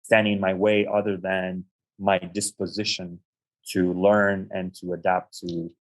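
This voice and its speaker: A young adult man from the United States, an interview guest, telling his life story in a measured, reflective way with frequent ums and restarts. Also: sits low, flat delivery, rough recording. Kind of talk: conversational storytelling.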